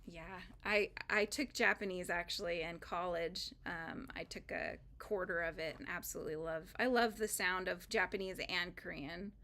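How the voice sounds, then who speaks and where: A woman's voice: on the low side, fairly even intonation, moderate pace, few pauses, bright, forceful tone. A woman hosting a live crochet stream, chatting casually and rambling with frequent fillers and laughs as she works. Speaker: crochet livestream host.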